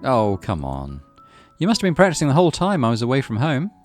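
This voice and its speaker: Man playing a lively, coaxing older brother. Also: mid-range voice, quick pace, faint music behind, pitched above the performer's own voice. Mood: coaxing, playful. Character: caring older brother, a boy, gentle and coaxing. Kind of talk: visual novel character read-aloud.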